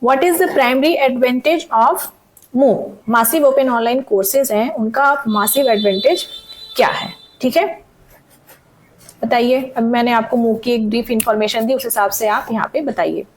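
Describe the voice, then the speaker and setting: A woman teacher with a somewhat high voice walks students through exam questions, mixing languages and pausing to check they follow. Her throat is sore, so her voice cracks now and then. She reads each question slowly before explaining it. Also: poor audio. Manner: frequent "theek hai" checks, reads questions slowly in English. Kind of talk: exam-prep lesson mixing Hindi and English.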